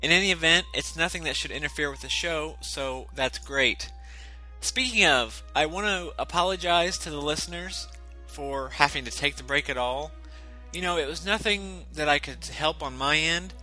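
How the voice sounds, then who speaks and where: A man's voice: fairly high pitch, bright, forceful tone, rough recording. A man hosting a podcast, talking in an earnest, apologetic way and sounding slightly congested from allergies, reading through his notes. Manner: earnest, apologetic, reads notes with filler "you know". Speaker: podcast host. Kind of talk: casual scripted podcast talk. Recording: dry close recording, faint music.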